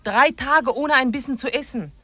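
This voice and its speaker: A woman's voice: sits high, normal speed, sharp tone. An actress playing a practical, resolute woman hiker in a radio drama, plain-spoken and earnest. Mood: firm, worried. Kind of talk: 1950s German radio drama acting.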